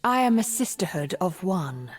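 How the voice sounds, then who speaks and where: A scheming, imperious game sorceress who rules a secret sisterhood. Her voice is husky and low, her delivery measured and cryptic, with music underneath. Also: sing-song. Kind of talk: video game character voice lines.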